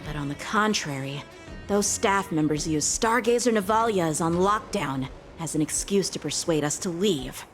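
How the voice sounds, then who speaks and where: An actress playing a courteous, incisive lieutenant. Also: female voice, fairly low pitch, expressive, normal speed, faint music behind, lightly acted. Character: polite, sharp young woman lieutenant. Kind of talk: video game cutscene voice acting.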